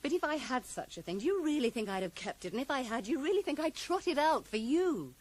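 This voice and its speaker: An actress in a radio drama playing a guarded, sharp-tongued woman writer who is curt, evasive and coolly defiant. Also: high voice, big pitch swings, quick pace. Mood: cold, defiant. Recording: noisy 1980s radio drama broadcast.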